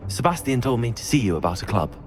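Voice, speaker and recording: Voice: mid-range voice, sing-song, fast, faint music behind, close to an everyday voice. An actor playing a polite, self-assured teenage student wizard in a video game. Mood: polite, composed. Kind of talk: scripted video game acting.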